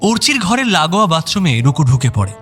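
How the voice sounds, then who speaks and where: Male narrator, slightly high and very expressive, scripted drama storytelling, light music underneath. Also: fairly quick.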